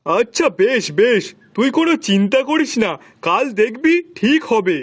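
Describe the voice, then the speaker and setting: A man's voice: very high voice, expressive, normal speed, husky, dry recording, clean studio sound, heavily acted. A man voicing a bossy but kindly master in a cartoon, talking in a familiar, teasing, colloquial way to his servant. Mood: reassuring. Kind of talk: cartoon story dialogue. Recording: music behind the voice.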